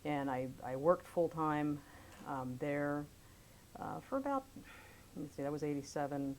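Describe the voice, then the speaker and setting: A woman in her fifties from Pennsylvania speaks with a strikingly low voice, recounting her past in a measured, careful way. A faint hiss sits under the recording. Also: lively intonation, darkish tone, poor audio. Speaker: interviewee, attorney. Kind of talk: oral history interview.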